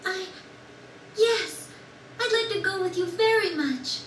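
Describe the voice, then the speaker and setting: A woman playing a gentle, earnest young girl, sad but resolute, in a video game dub. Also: very high voice, slow pace, a little hiss or hum, heavily acted. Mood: shy, earnest. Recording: roomy game audio, distant, music over it.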